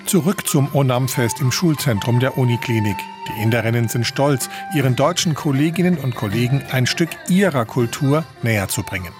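A man's voice: mid-range voice, expressive, normal speed, few pauses, music in the background. A man reading a scripted radio report as the reporter.

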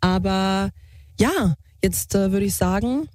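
A young woman co-hosting a podcast in casual chat, her voice a bit hoarse and lower than usual. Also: slow pace, a little mellow, no room sound, clean studio sound. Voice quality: slightly husky, lowered voice. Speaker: podcast co-host.

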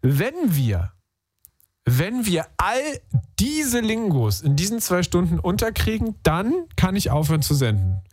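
Male radio host, slangy and upbeat, slightly high voice, dry close studio mic. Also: huge pitch swings, unhurried, darkish tone, husky, no echo. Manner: upbeat, slangy, chatty with filler sounds. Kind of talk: casual call-in radio talk. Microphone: studio microphone.

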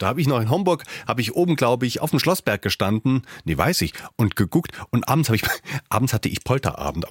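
Animated German radio host with a husky voice. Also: male voice, mid-range voice, no echo. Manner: addresses listeners directly, conversational. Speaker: radio morning show host. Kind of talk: casual radio presenting, chatty.

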